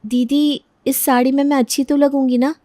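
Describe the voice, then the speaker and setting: A woman's voice: high-pitched, level tone, moderate pace, warm tone, no room sound, studio quality, voice pushed up, close to an everyday voice. A woman voicing a sly young bride in a family storybook drama, coy and mock-innocent. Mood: unsure, hopeful. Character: sweetly teasing young bride, sly younger sister-in-law. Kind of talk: melodramatic storybook acting.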